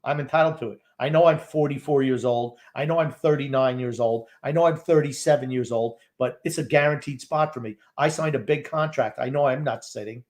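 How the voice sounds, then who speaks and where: A man's voice: medium pitch, brisk, few pauses, darkish tone. A man co-hosting a live game watchalong podcast, blunt and complaining. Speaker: podcast co-host.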